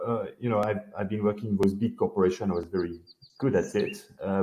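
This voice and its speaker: A middle-aged Frenchman explains his career over a video call, speaking through a headset with a French accent. His voice sits a little low and sounds mellow and dark. The room audio is noticeably echoey, and he stops and restarts often. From France. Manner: explanatory, many hesitations and restarts. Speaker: guest, company founder. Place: indoor home office.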